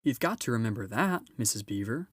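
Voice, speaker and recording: A man's voice: medium pitch, sing-song, a little fast, husky, no echo, studio quality. A man voicing an anxious young girl in a storybook, worried and pleading with urgency.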